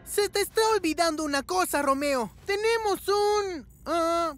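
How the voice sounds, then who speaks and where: A woman's voice: extremely high pitch, measured pace. A woman voicing a confident young boy hero in a cartoon dubbed in Latin American Spanish. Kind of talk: cartoon dubbing. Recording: loud music behind the voice.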